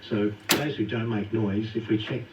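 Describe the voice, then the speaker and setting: A man's voice: on the low side, moderate pace, background noise. A man explaining things conversationally as an expert guest in a radio interview, heard muffled and distant through radio playback.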